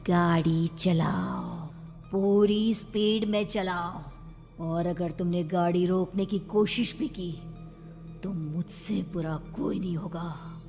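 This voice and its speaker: A woman's voice: lowish voice, steady tone, takes it slowly, darkish tone, long reverb tail, soft background music. A woman voicing a cold, vengeful female ghost who gives threatening commands in a horror cartoon. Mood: menacing.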